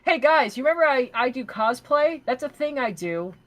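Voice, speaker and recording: A woman chatting casually and profanely on a livestream, joking in an animated way and reading out comments. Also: high voice, normal speed. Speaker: livestream co-host.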